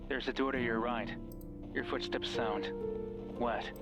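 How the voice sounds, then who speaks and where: A man playing a mysterious, controlling guide in a horror game, speaking in a commanding, condescending, deliberate way with menace and false care. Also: medium pitch, fairly even intonation, natural voice. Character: mysterious controlling male voice guiding through a hospital, menacing, falsely caring. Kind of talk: horror visual novel voice acting. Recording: music behind the voice.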